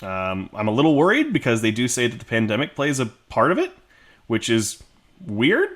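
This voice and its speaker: A man speaks as a podcast co-host in a casual film discussion. His pitch is middling for a man. He talks in an informal, chatty way, like someone swapping opinions with a friend. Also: huge pitch swings, normal speed, a bit bright.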